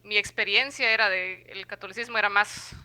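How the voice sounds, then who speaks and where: A woman in her mid-twenties from Guatemala, speaking as a guest on a podcast. Her voice is very bright and crisply clear, and she talks hesitantly, piling up fillers and restarts as she thinks aloud. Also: mid-range voice, steady tone, fairly quick. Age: 20s. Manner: hesitant, many fillers, laughs lightly. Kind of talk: conversational interview.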